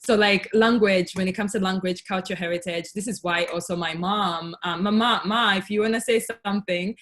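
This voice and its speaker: A woman from Tanzania, a native Swahili speaker, hosting a casual online group chat in a halting, conversational way. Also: mid-range voice, steady tone, fairly bright tone. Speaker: host of a virtual cultural session. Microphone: video call.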